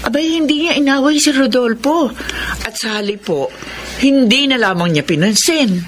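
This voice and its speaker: An actress playing a deferential, gossipy Filipino household helper in a radio drama. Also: female voice, sits high, expressive, moderate pace, a little hiss or hum. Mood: surprised, chatty. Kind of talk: Tagalog radio drama acting.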